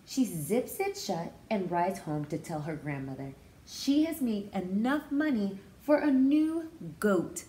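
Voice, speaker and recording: A woman's voice: mid-range voice, lively intonation, unhurried, hardly any pauses, dark tone, poor audio. A woman teacher doing a picture-book read-aloud, expressively explaining words and feelings in simple terms for young children. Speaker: pre-K teacher reading aloud.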